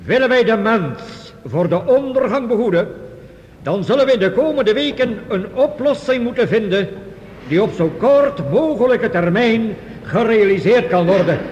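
Grave high-voiced orator in a hall. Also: male voice, lively intonation, moderate pace, a little mellow. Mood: solemn warning. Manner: solemn, declamatory, emphatic. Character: grave, urgent male doctor warning a world assembly. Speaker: official addressing delegates. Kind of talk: Dutch radio play acting, formal oratory. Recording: dull, hissy recording in long hall reverb.

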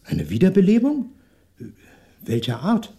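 Husky, evasive former detective. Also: male voice, sits high, wildly swooping pitch, a little slow, mellow, exaggerated voice. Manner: courteous, hesitant, evasive, with stalling interjections. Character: polite, evasive male French shopkeeper, former private detective. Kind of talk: 1960s German radio crime drama acting.

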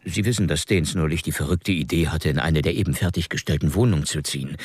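An actor playing an agitated young gentleman in a radio drama, nervously flippant, flustered and talkative. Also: very deep voice, fast talker, slightly rough, no echo. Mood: urgent, grave. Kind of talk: German radio drama acting.

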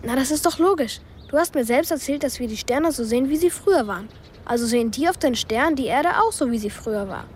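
A woman's voice: sits high, lively intonation, fast talker, darkish tone, a little hiss or hum. A woman playing a precocious, earnest young boy in a radio drama, explaining things in a self-assured, matter-of-fact way. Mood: curious, certain.

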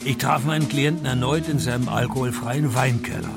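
A man playing a dry, sardonic private detective in a radio play, deadpan and laconic. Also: mid-range voice, brisk, creaky, over loud music, lightly acted. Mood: deadpan narrating. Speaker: lead actor and first-person narrator of a radio play. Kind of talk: radio drama dialogue and scripted first-person narration.

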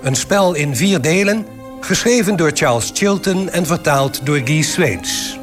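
A man's voice: on the high side, animated, measured pace. A man making a dramatic, scripted title announcement as the announcer of a radio serial. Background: prominent music.

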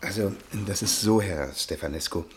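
A man's voice: low voice, moderate pace, a little hiss or hum, close to an everyday voice. An actor playing a polite, hesitant male scholar who stammers, in an old radio play. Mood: flustered. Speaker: scholarly author visiting the newspaper.

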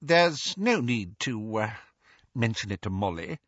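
A man's voice: lowish voice, sing-song, measured pace, fairly bright tone, no echo, full character voice. A man voicing a kindly, fussy middle-aged wizard father who hesitates with fillers. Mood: sheepish. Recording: dry, dull lo-fi audio.

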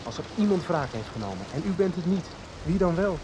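An actor playing a haunted, driven teenage boy in a radio soap, speaking haltingly and intensely, with rain behind him. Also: a little high, big pitch swings, noisy background. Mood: insistent. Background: rain, music.

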